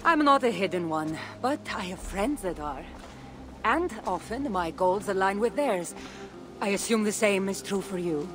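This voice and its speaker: An actress playing a confident, secretive ancient Greek warrior woman in a video game, with a Greek accent and a measured, teasing manner. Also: mid-range voice, animated, natural voice. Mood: guarded, calm. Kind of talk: video game cutscene acting. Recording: game audio with music behind. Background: game soundtrack music.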